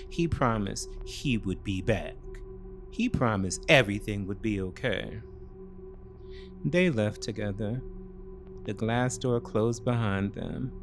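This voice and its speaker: Male narrator for thriller fiction: unhurried, measured phrasing with a wide, lively intonation. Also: fairly low pitch. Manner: measured, short deliberate phrases. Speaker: storyteller. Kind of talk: scripted dramatic narration.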